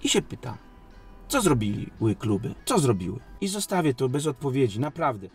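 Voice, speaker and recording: Guy in an interview, medium pitch, defensive and emphatic, repeats himself, bit of music in the back. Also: sing-song, normal speed. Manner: emphatic, defensive, repeats phrases and asks rhetorical questions. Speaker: sports league company president and club coach. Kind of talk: unscripted studio interview answers.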